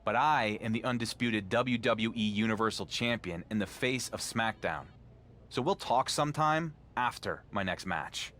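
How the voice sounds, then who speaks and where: A man's voice: lowish voice, normal speed, a bit bright, slight background noise, lightly acted. Man playing dry, sarcastic champion wrestler. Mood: defensive. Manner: dry, sardonic retorts, defensive under accusation.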